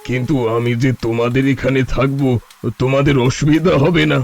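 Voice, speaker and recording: A man's voice: mid-range voice, steady tone, brisk, vocal fry, darkish tone, no room sound, natural voice. A man voicing a weak, betrayed older holy man in a cartoon, speaking in a tired, pleading, sorrowful way. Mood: gentle. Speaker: voice actor. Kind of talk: cartoon voice acting.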